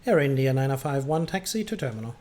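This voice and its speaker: A man's voice: mid-range voice, expressive, fast, darkish tone. A man hosting a gaming livestream, chatting casually and hesitantly between air traffic controller calls. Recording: clearly noisy background.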